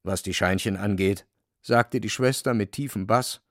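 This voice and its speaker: Casting: male narrator for literary radio drama, gravelly mid-range voice, dramatic delivery, dry close sound. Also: on the low side, normal speed, no echo.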